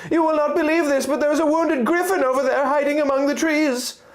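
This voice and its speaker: Man putting on shrill, urgent little fairy woman. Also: steady tone, brisk. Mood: urgent, alarmed. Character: anxious, urgent little female fairies.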